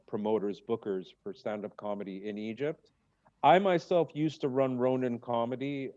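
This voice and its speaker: Man chatting over muffled call audio. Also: lowish voice. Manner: rambling, jokey, lively asides. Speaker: comedian guest. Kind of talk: casual podcast chat.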